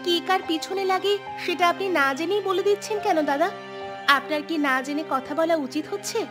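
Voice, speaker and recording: Aggrieved young cartoon wife, high voice, plaintive, complaining, loud music underneath. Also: female voice, a little fast. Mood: indignant. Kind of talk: Bengali cartoon voice acting.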